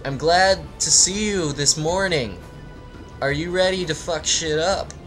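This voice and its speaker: Chirpy, crude video-game mom, acted by a man, pitched well up, music behind. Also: a little slow, a bit bright. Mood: cheery. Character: cheerful, foul-mouthed mother of a game hero.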